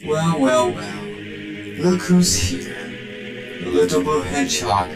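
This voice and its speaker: A man's voice: a little high, lively intonation, slow. A man playing a cold, arrogant villain in a game cutscene, speaking with condescending menace. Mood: smug taunting. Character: cold, arrogant male villain, scornful and self-assured. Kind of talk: fan game cutscene voice acting. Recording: echoey voice over game music.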